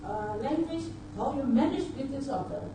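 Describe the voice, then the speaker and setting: A woman whose first language is Dutch, from Suriname, giving a halting personal talk at a conference, often repeating words and filling pauses with uh. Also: high voice, animated, noisy background. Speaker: conference speaker. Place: large conference hall. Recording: echoey hall, voice picked up from far off.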